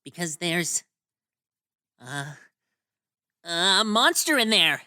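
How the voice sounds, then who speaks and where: A very bright, bouncy voice for a naive, cheerful young male cartoon sponge, as a man puts him on. He talks in an eager, sing-song way. It sits close to the mic. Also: sits high, very animated, very slow, dry recording, heavily acted. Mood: spooky-playful. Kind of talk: comic fan dub.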